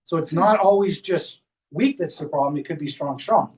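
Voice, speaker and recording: A high-pitched man talks a small group through a hands-on demonstration at a clinic workshop, explaining each step as he goes. His voice is picked up from some distance, with the room around it. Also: moderate pace, darkish tone. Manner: explains step by step while guiding a volunteer. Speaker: chiropractor leading a workshop.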